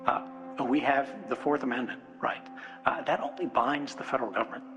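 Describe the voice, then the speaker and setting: A man's voice: mid-range voice, steady tone, fairly quick. An American man giving an informal, unscripted public speech in a conversational, emphatic way, often checking in with rhetorical questions. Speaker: conference speaker. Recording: speech with music laid underneath. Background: music.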